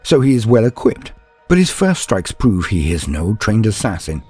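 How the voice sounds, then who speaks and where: A man with a deep voice that swings widely in pitch as he narrates lore and stories. His delivery is formal and theatrical, with a grandiose turn to each phrase. Music plays along behind him. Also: sing-song, moderate pace, a little mellow, breathy edge. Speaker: host of a lore channel and storyteller. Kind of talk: scripted lore narration and dramatic storytelling.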